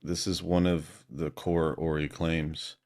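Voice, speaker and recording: Gravelly, low-pitched man chatting. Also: level tone, takes it slowly, darkish tone. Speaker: conversation partner. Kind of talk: casual chat.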